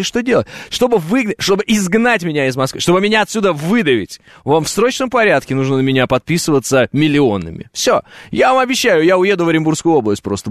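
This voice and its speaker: A man's voice: a little high, no echo, clean studio sound. A man reading his live chat aloud and answering it, wry and joking, with many hesitant fillers. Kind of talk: casual live stream chat. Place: at home, on camera.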